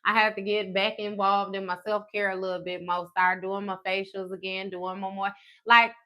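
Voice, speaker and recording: A woman's voice: mid-range voice, little pitch movement, moderate pace, pushed and bright. A woman in her thirties chatting live with her viewers as a tarot host, blunt and profane. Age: 30s. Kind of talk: casual livestream chat with viewers.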